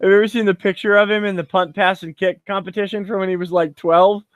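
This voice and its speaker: A high-pitched man recaps a football game on a casual podcast. He speaks in a close, dry recording. He is excitable and jokey and swears freely. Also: fast talker, dry recording. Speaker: podcast co-host. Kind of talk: casual sports chat.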